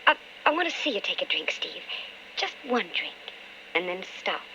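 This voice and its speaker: An actress playing an anguished, calculating wife of an alcoholic in an old-time radio drama, with intense, melodramatic delivery. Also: female voice, on the low side, big pitch swings, moderate pace, sharp tone, highs cut off, slight background noise, exaggerated voice. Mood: coaxing, insistent.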